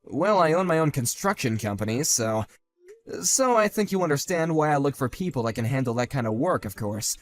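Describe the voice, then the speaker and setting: A man voicing a calm, sardonic grown man who talks in a level, dry and cutting way, in a one-man voiced drama. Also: medium pitch, a little fast, faint music behind, voice pushed down, lightly acted.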